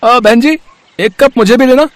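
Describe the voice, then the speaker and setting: A man's voice: extremely high pitch, moderate pace, a little hiss or hum. A man voicing a friendly, inquisitive village tea customer in a bedtime story. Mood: chatty. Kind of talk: acted dialogue in a bedtime story.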